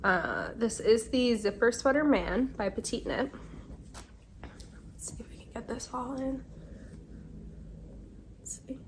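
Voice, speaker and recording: A woman's voice: a little high, animated, measured pace, pauses often, slight background noise. A woman in her twenties hosting a podcast in a casual, rambling way, a bit congested from a cold, with many ums and self-corrections. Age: 20s. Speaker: podcast host. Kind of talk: casual chat. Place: home during a windy day.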